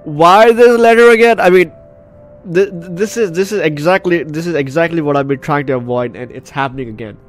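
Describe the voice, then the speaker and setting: A man hosting a gaming video while getting over the flu, reading and reacting aloud in a dramatic way with repeated phrases. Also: on the high side. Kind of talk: let's play commentary, reading game text aloud. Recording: game music behind the voice.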